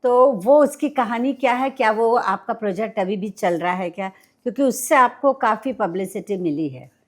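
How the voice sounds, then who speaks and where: A woman's voice: a little high, animated, normal speed, a little mellow. A woman from India hosting a podcast, interviewing her guest in a conversational style. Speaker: podcast host.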